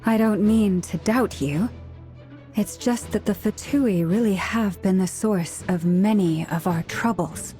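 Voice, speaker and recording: A woman's voice: mid-range voice, unhurried, dark tone, faint music behind, lightly acted. A woman voicing an earnest young woman in a video game, explaining things sincerely.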